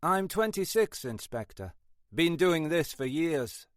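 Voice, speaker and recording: A man's voice: on the high side, sing-song, takes it slowly, no echo, clean studio sound, pitched above the performer's own voice. A man voicing a cocky young tech expert in his twenties with a posh English accent. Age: 20s. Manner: cocky, self-assured.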